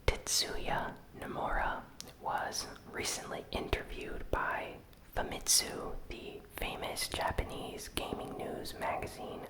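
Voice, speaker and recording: A host whispering a gaming news recap in a soft, unhurried ASMR style. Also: rough recording. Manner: slow, soft, unvoiced whispering.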